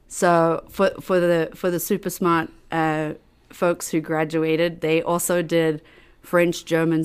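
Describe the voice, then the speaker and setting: Middle-aged South African woman, lower register, easygoing storyteller with lots of ums. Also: clear, resonant tone. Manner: laughing, chatty storytelling with many fillers. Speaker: podcast guest. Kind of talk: casual chat.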